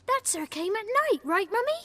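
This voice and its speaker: Very high-voiced small boy. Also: big pitch swings, normal speed, bright, forceful tone, full character voice. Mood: innocent. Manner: simple, childlike questioning. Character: small peasant boy, innocent, curious and anxious. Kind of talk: video game voice acting.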